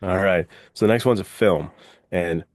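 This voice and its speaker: Very deep-voiced man, casual podcast host. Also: moderate pace, no echo, clean studio sound. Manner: informal, peppered with "like" and "dude". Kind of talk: casual enthusiastic film chat.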